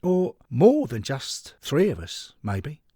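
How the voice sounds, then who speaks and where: A man's voice: medium pitch, huge pitch swings, takes it slowly, mellow, husky, no room sound, clean studio sound, heavily acted. A man voicing a wry, affectionate male football fan who teases his devoted partner in an audio story. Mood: reflective. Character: teasing, affectionate man, half of a devoted couple.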